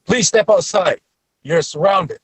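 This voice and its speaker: An actor playing a stern, commanding officer in his early thirties in a script table read, with a husky voice. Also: high voice, measured pace.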